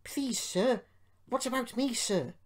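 A woman voicing an eager, excitable young male steam engine in a children's storybook, exclaiming and bubbling over. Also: fairly high pitch, extremely slow, voice pushed up. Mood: anxious.

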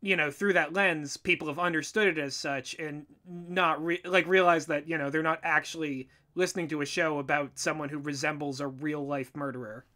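Bright, high-voiced man giving opinions. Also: fairly even intonation, normal speed, hardly any pauses. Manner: opinionated, conversational. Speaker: podcast co-host and audio drama writer. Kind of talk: casual discussion and critique.

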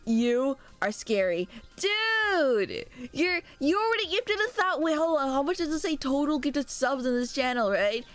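A young adult woman streaming live, chatting excitedly with viewers and thanking them by name, speaking through a sore throat. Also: high-pitched, a little slow. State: sore throat, a little sick. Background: faint background music.

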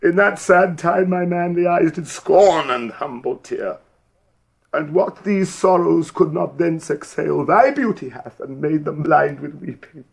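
A man's voice: a little high, a little slow, creaky. An actor playing a scheming, silver-tongued nobleman who fervently woos a widow in theatrical Shakespearean verse. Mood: impassioned grief. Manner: theatrical, declamatory, insinuating. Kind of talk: classical Shakespearean stage-style verse acting.

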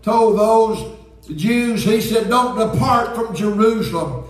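An elderly male pastor preaches earnestly at an unhurried pace. His voice is high for a man. It rings with heavy echo in a church sanctuary. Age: 70s. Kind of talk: Pentecostal sermon, preaching and reading aloud. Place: church sanctuary, indoors at a pulpit. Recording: very echoey church sanctuary, distant sound.

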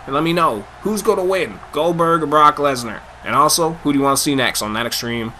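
A young man giving loud, excitable live commentary over a wrestling video game, his voice getting hoarse. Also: on the high side, a little fast, a little hiss or hum. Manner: hyped, shouting play-by-play calls. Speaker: gameplay commentator.

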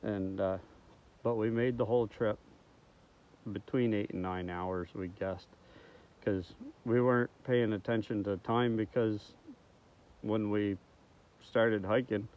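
A man's voice: lowish voice, fairly even intonation, unhurried, pauses often. Man vlogging on a tinny phone. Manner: plain, rambling, frequent ums. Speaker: outdoors vlogger. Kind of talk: casual vlog to camera. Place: outdoors in a forest. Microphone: phone held at arm's length. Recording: tinny phone audio with faint outdoor noise.

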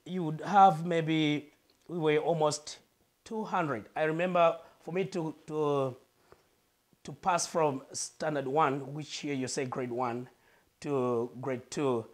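A Malawian man, a guest on a lapel mic in a living room, earnestly telling his life story and pausing to search for words. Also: fairly high pitch, steady tone.

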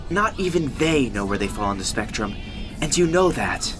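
An anxious young male goat monster prince played by a man, full of guilt and self-doubt. His mid-pitched voice swings widely with hesitant, expressive delivery. Music plays clearly behind him in this comic dub. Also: normal speed, lightly acted. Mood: exasperated. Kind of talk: animated comic dub voice acting.

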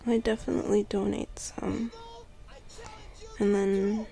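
A young woman doing girlfriend ASMR roleplay in a soft, sleepy voice that often drops to a whisper, murmuring affectionately and drowsily. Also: medium pitch, little pitch movement, slow. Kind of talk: ASMR girlfriend roleplay, casual intimate chat.

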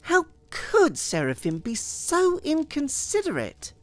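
A man's voice: extremely high pitch, huge pitch swings, slow, fairly bright tone, no room sound, pitched above the performer's own voice, full character voice. A man reading aloud and voicing an anxious, indignant housewife who protests at unwelcome guests. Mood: dismayed. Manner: exasperated, protesting.

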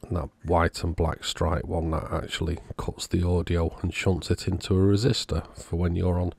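Casual male repair-video host, very low register, husky with plenty of fry. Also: flat delivery, moderate pace, darkish tone. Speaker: host of an electronics repair channel. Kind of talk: casual repair walkthrough narration.

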